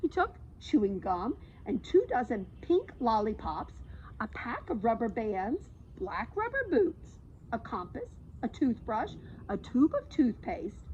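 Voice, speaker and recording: A woman reading a children's book aloud as a storyteller, in an expressive read-aloud style. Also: a little high, unhurried. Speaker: storytime reader. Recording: slightly dull audio, faint background noise.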